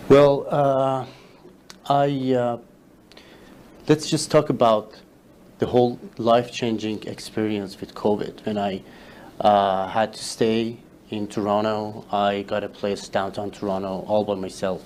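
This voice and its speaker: A man whose first language is Farsi, a guest telling his story in a casual interview, halting with many fillers and restarts. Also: fairly low pitch, flat delivery, a little mellow, a little hiss or hum. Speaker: interview guest, social media creator.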